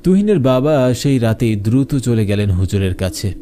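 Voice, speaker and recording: A man's voice: medium pitch, very animated, moderate pace, dark tone, a little hiss or hum. A man narrating a scripted horror story for a cartoon.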